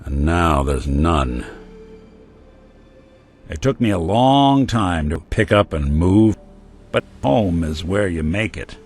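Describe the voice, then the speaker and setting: An actor playing a world-weary male synth detective in a game, talking with a gruff, laconic noir drawl. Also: low-pitched, animated, slow, long pauses, darkish tone, soft background music. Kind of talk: game companion dialogue.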